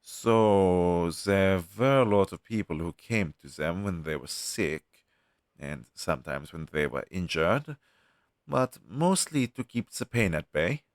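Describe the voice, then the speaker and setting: Male actor as crow demon, ex-familiar: lowish, unhurried, conversational, friendly but world-weary, for a listener-addressed audio roleplay. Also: expressive, no room sound, clean studio sound, heavily acted. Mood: reminiscing. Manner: conversational, hesitant, with sighs and pauses. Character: down-on-his-luck male crow demon, former witch's familiar, wistful and friendly.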